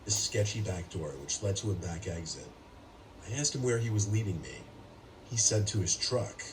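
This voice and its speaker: A man's voice: sits low, lively intonation, moderate pace. A man narrating a first-person horror story from a script, heard as distant playback. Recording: playback heard from afar, noisy.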